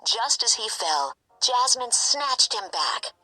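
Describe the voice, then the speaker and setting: A woman narrating a scripted children's story in an expressive, animated way. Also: on the high side, slow pace, soft background music, rough recording. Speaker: storybook reader.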